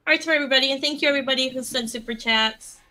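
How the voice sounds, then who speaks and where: A woman's voice: high voice, rapid-fire, pushed and bright. A bubbly woman from Colombia with a mixed Colombian and Minnesotan accent, chatting on a livestream. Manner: bubbly, giggly. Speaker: co-host. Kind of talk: casual livestream chat.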